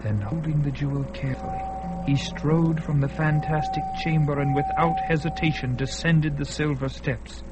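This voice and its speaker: A man's voice: on the high side, normal speed. Male radio drama narrator over music.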